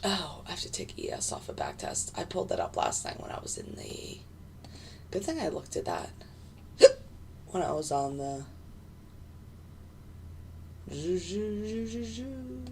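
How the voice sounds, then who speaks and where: A woman with a slightly low, raspy voice talks through a live trading stream in loose, rambling commentary, full of fillers and restarts. She is fighting hiccups. A faint hiss of background noise sits under her voice. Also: big pitch swings, unhurried, long pauses, mellow. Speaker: futures day trader streaming live. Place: home desk in front of a computer.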